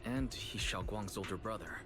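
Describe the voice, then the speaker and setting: An actor playing an earnest young hero in a video game, hesitant and sincere. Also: male voice, on the low side, normal speed, music in the background, natural voice.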